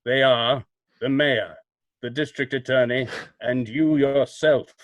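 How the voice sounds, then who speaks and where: An actor playing a mysterious, self-assured vigilante in an old-time radio mystery, speaking in a formal, portentous, deliberate way. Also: male voice, medium pitch, steady tone, no echo, close to an everyday voice. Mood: grave.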